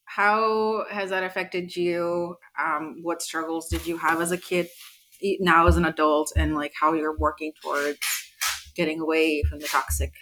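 A woman's voice: deep voice, flat delivery, moderate pace, a bit bright, slight background noise, rough recording. A woman hosting a casual group conversation at a dining table, curious and asking probing questions. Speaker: podcast host. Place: home dining room.

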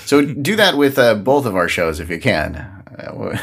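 A man's voice: mid-range voice, sing-song, moderate pace, a bit bright, breathy edge. An American man hosting a podcast casually, with jokey asides in lightly scripted segments. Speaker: podcast host.

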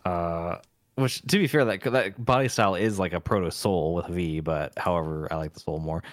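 Deadpan low-voiced podcast co-host man. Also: brisk, no echo, clean studio sound. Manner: deadpan, rambling, lots of fillers. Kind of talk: casual chat.

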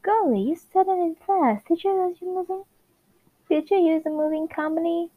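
A woman's voice: very high voice, normal speed, no echo, phone-quality sound, pitched above the performer's own voice. A woman playing a nosy, chatty 1950s sitcom housewife neighbour, gushing in folksy old-fashioned slang.

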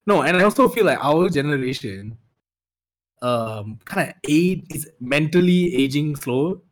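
A man's voice: fairly high pitch, animated, unhurried. A man in his twenties with a Malaysian English accent, chatting casually as a podcast co-host, laughing often and swearing freely. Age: 20s. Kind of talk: casual chat.

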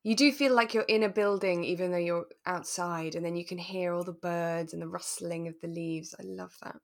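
A woman with a fairly low-pitched voice chats as a podcast co-host. Her delivery is casual and relaxed, as in an informal conversation. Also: moderate pace, creaky.

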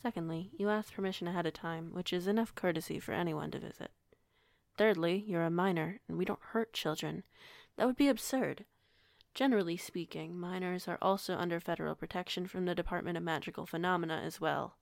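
A woman playing a composed, kindly werewolf pack alpha in an audio roleplay, speaking in a formal, measured, authoritative way. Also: lowish voice, gravelly, no room sound. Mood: patient, explanatory.

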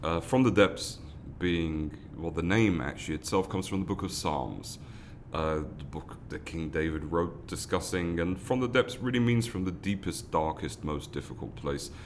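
A man's voice: sits low, normal speed, slight background noise. A man, a foundation founder giving earnest interview answers, often restarting and repeating words. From London, England. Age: 30s.

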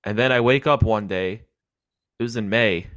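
A man's voice: on the low side, normal speed, a bit bright, no room sound. A young man with a nasal voice hosting a chess podcast, joking and self-deprecating in casual chat. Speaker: podcast host.

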